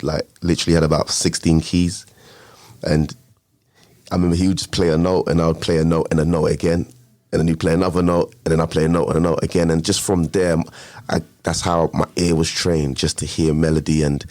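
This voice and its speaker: A man's voice: a bass voice, a little mellow, no echo. A man with a London accent chatting casually and slangily as an interview guest, a music producer. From London, England. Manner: slangy, informal.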